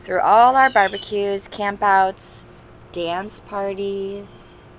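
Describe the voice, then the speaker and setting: A woman's voice: mid-range voice, fairly even intonation, extremely slow, slight background noise, low-quality recording. A woman reading a storybook aloud to young children, expressively, with simple chatty asides and questions. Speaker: teacher reading a picture book aloud to children.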